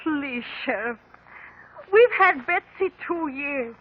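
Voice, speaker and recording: High-voiced anxious farm wife. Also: female voice, animated, slow pace. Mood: desperate pleading. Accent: rural American. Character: anxious, pleading young farm wife and adoptive mother. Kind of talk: 1950s American radio drama acting. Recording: dull, band-limited 1950 radio recording.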